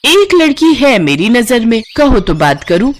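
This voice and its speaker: Casting: female narrator as friendly village matchmaking neighbour, chatty and persuasive, raised pitch, for an animated tale. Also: unhurried.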